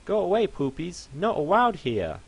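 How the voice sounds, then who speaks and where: A tiny whimpering female pet creature voiced by a man babbles in broken baby talk, her voice squeaking far above a normal speaking pitch. She swoops wildly up and down, high and pleading, like a small child in a storybook gone dark. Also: wildly swooping pitch, moderate pace, gravelly, slightly rough, exaggerated voice. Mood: whiny.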